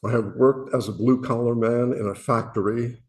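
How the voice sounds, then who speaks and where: A man from Illinois with a booming voice, speaking earnestly and self-deprecatingly to a worship meeting, often pausing and restarting his sentences. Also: steady tone, a little mellow. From Illinois, USA. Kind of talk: reflective spoken message.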